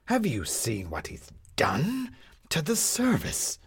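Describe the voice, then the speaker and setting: A man's voice: fairly high pitch, huge pitch swings, slow pace, creaky, slightly rough, pitched above the performer's own voice, exaggerated voice. Man acting an indignant, blustering storybook schemer. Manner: blustering, emphatic, declamatory. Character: indignant, theatrical male schemer on the run. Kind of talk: dramatized storybook reading.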